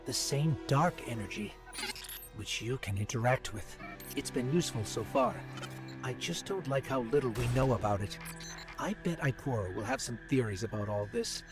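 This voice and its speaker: A man's voice: mid-range voice, lively intonation, moderate pace, music in the background, natural voice. A man voicing a curious, earnest little robot companion with a conversational, inquisitive manner in a sci-fi game. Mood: thoughtful.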